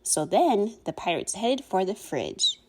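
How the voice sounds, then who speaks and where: A woman giving an animated, expressive read-aloud of a rhyming children's picture book. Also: medium pitch, measured pace, a little hiss or hum. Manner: theatrical, expressive. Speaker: storybook reader.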